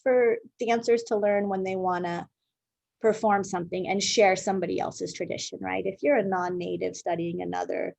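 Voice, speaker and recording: Interview guest: American woman, noticeable vocal fry, close dry sound, thoughtful, emphatic delivery. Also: medium pitch, little pitch movement, moderate pace, darkish tone, dry recording. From Southern California, United States. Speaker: interviewed guest, dance artist and educator. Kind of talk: conversational interview, reflective explaining.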